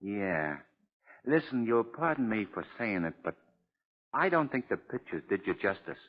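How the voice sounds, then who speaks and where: Undercover man among convicts, lively intonation, slightly muffled old radio crime drama, admiring sidekick front. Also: lowish voice, moderate pace. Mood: flattering. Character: male undercover sleuth posing as admiring fellow convict. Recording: dull vintage radio recording.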